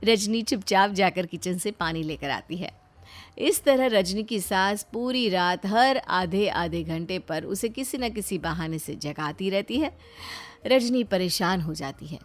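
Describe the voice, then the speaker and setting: A woman's voice: mid-range voice, lively intonation, a little slow. A woman reading a scripted family story as narrator.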